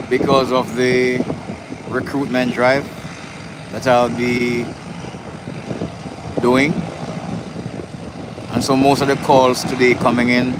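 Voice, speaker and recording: A man in the middle of an ordinary pitch range narrates a live plane-spotting stream outdoors, talking casually to his viewers. Loud aircraft engines and outdoor ambience roar behind his voice. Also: noisy background. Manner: casual, answers viewers by name, enthusiastic about takeoffs. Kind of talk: live plane-spotting commentary, reading chat. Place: outdoors near an airport runway.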